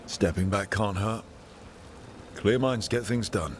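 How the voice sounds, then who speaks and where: A man voicing a loyal, easygoing young adventurer who banters gently in a fantasy video game. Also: on the low side, takes it slowly, a little hiss or hum. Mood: supportive, warm.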